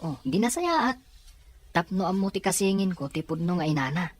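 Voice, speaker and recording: A woman's voice: fairly low pitch, lively intonation, normal speed. A woman with an Ilocano accent playing a stubborn, eager character questioning a friend in an audio drama. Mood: insistent. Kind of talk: radio drama acting.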